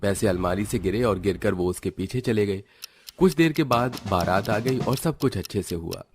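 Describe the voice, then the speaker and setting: Low-voiced man narrating a story. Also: lively intonation, normal speed, over music.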